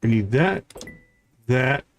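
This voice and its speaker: Male gaming host, medium pitch, casual live commentary that lists and counts items, slightly noisy recording. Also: expressive, takes it slowly. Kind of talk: casual gameplay commentary, talking through tasks.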